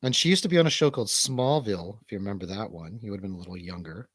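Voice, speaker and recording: A man's voice: on the low side, rapid-fire, husky, dry recording. A man hosting a casual livestream chat, laid-back, slangy and rambling. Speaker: host of a livestream show.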